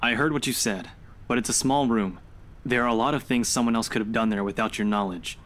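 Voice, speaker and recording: A man's voice: mid-range voice, fairly quick, fairly bright tone, natural voice. An actor playing a skeptical, know-it-all young man in a visual novel, blunt and argumentative. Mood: dismissive. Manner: dismissive, argumentative.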